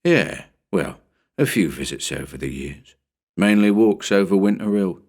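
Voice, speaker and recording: A man's voice: deep voice, lively intonation, a little slow, darkish tone. A man voicing a curious, patient visitor who mutters and hesitates. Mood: hesitant.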